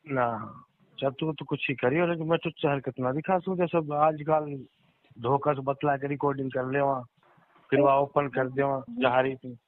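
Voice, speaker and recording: A man's voice: mid-range voice, flat delivery, normal speed. A man with a Mewati accent chatting informally in rustic dialect on a recorded phone call. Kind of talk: casual private phone chat. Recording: tinny phone line, roomy echo.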